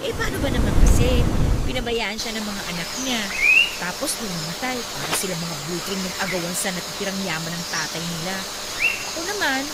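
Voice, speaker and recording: A woman's voice: medium pitch, lightly acted. An actress playing a playful, frank young Filipino businesswoman in a radio drama, chatty and teasing in her delivery. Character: playful, frank, self-made young businesswoman reuniting with old sweetheart. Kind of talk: naturalistic radio drama acting. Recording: clearly noisy background.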